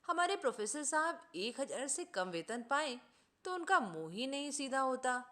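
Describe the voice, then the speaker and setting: Woman reading as an earnest, animated idealist man. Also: high-pitched, normal speed, heavily acted. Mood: earnest, indignant. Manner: earnest, oratorical, formal. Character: idealistic, indignant man preaching justice and selfless service.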